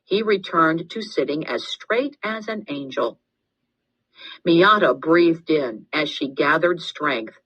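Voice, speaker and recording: A woman reading a children's story aloud as scripted narration, in an expressive, deliberate way. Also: low voice, breathy edge, poor audio. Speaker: storybook reader.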